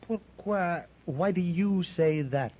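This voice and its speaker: An actor playing an excitable middle-aged French explorer in a 1930s radio serial, speaking with a French accent and peppering his talk with French words. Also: high-pitched, slow. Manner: exclamatory, peppers speech with French. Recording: dull old radio recording with faint hiss.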